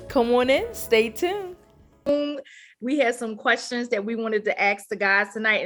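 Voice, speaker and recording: Woman in her fifties hosting, higher-pitched. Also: a bit bright. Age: 50s. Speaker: radio show host. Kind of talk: casual relationship talk show hosting.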